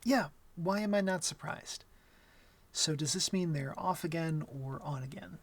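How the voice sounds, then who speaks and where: A young man playing an awkward, gentle vampire in an intimate ASMR roleplay, talking softly and hesitantly with a wry streak. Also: on the high side, measured pace. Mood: relaxed, amused. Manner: hesitant, soft-spoken, wry.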